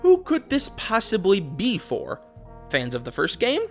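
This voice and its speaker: A man's voice: high-pitched, wildly swooping pitch, unhurried, a bit bright, soft background music. A man giving blunt, sardonic, casually profane video commentary in an opinionated, conversational style. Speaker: video essayist, host. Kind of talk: opinionated game commentary, casual script with asides.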